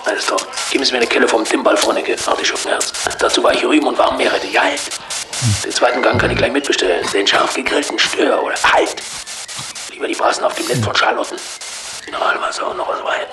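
A man playing a brisk, fussy restaurant guest in a radio play, ordering in a clipped way. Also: medium pitch, wildly swooping pitch, creaky, over music, low-quality recording. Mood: brisk, demanding. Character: brisk, fussy diner in an old surveillance recording.

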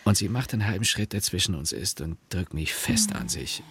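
An actor playing a wry, anxious husband who narrates a literary radio play in a husky, gravelly, reflective storytelling way. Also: male voice, lowish voice, expressive, a little fast, very noisy, close to an everyday voice.

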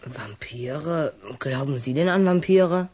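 A man's voice: on the high side, slow, a little mellow, breathy edge. A young male actor playing a wary, cheeky schoolboy in an audio drama, guarded and defiant. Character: wary, cheeky schoolboy who loves scary books.

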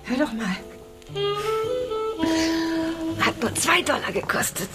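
Animated, devoted girlfriend, music behind. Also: high-pitched, a little fast. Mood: light. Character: devoted, questioning young girlfriend, emotional. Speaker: actor. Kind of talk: German radio drama acting.

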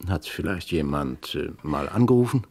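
An actor playing a courteous, businesslike police inspector in a radio crime drama, formal and terse. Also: male voice, deep voice, lively intonation, moderate pace, creaky, a little mellow, full character voice. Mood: polite, probing.

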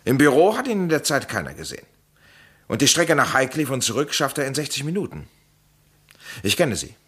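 Bright-voiced, insistent amateur sleuth. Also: male voice, mid-range voice, huge pitch swings, brisk, husky, full character voice. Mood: eager, arguing. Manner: dramatic, eager, insistent. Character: insistent amateur sleuth, a male playwright under suspicion. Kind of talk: vintage German crime radio play acting.

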